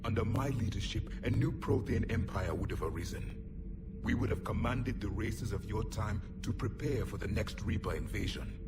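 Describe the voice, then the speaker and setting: A man voicing a grim, deep-voiced ancient alien soldier. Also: normal speed, close to an everyday voice. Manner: grave, cold, half-whispered delivery. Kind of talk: video game cutscene dialogue. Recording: distant voice under loud game music.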